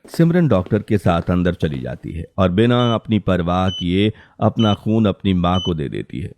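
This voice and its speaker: A man's voice: low-pitched, big pitch swings, normal speed, darkish tone, slight background noise. A man delivering scripted dramatic narration for a story.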